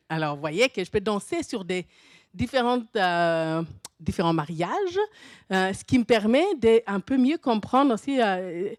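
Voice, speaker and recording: A middle-aged woman with a foreign accent in French, not her first language, talks through a conference presentation. Her voice sits at a middle pitch, and she talks in an animated way, thinking aloud with restarts and light laughs. The sound is dry, with no room echo. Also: unhurried. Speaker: university professor presenting at a teachers' conference.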